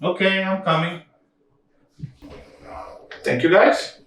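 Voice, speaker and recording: Slow-talking man in an echoey room. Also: sits high, steady tone, a bit bright. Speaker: therapist guest speaker. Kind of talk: addressing a puppet show audience. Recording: echoey room, slightly distant mic.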